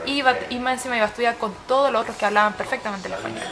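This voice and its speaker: A young woman with a Chilean Spanish accent who first spoke Swedish, answering interview questions with thoughtful pauses. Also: on the high side, vocal fry, a crowd in the background. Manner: thoughtful, with frequent hesitations. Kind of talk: casual interview.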